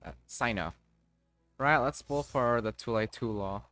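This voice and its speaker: Slow, halting male game streamer. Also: mid-range voice, a bit bright, no room sound, faint music behind. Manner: halting, muttering to himself, mild swearing. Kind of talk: casual live gaming commentary, thinking aloud.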